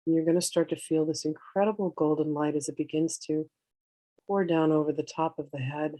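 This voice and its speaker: A meditation teacher guiding in a soothing, unhurried way, with gentle instructions in drawn-out phrases. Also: medium pitch, fairly even intonation, dry recording. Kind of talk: guided meditation, slow instruction.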